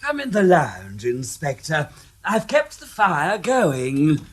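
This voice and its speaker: An actor playing a self-assured clairvoyant in a radio crime drama, mysterious and knowing. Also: male voice, a little high, huge pitch swings, slow, full character voice.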